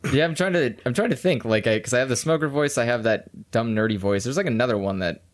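A man chatting casually on a podcast, animated and laughing often, about doing character voices. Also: quick pace. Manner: animated, jumps into high put-on voices, laughs a lot. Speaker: co-host, voice actor for let's plays.

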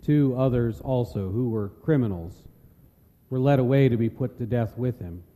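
A man's voice: mid-range voice, moderate pace, mellow. A man narrating a solemn, dramatic scripture reading. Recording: long reverb of a large hall.

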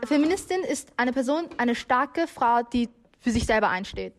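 A woman's voice: on the high side, lively intonation, moderate pace. A young adult woman speaking casually as a radio guest, loose and searching, with lots of filler words. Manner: loose and searching, many fillers like halt and also. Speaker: interview guest. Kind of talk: casual spoken opinion.

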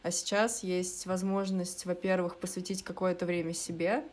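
A woman's voice: on the low side, little pitch movement, moderate pace, a little mellow. Easygoing young woman guest. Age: young adult. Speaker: podcast guest. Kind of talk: casual chat.

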